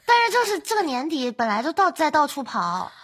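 A woman's voice: sits high, sing-song, fast, fairly bright tone. A woman hosting a podcast in casual conversation. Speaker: podcast host. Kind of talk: casual chat.

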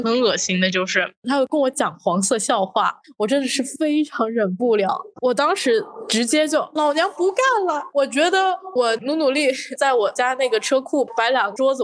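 A young woman podcast host casually telling an anecdote in an animated, indignant way. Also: a little high.

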